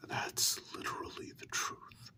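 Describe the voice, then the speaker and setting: Someone acting out a gentle candid human confiding in a vampire lover, all breathy whisper, real slow, asmr roleplay. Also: natural voice. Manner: slow, hushed, intimate, with pauses. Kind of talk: whispered ASMR role-play.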